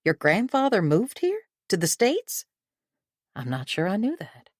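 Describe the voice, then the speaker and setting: A woman reading the part of a formidable older female intelligence director in measured, composed tones. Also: medium pitch, wildly swooping pitch, a little mellow, no room sound, studio quality. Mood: curious. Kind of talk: audiobook character reading.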